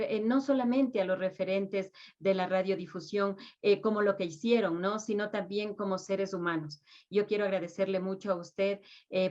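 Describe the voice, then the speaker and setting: A woman's voice: medium pitch, a little mellow, lo-fi sound. A woman hosting a radio interview, asking polite, formal questions.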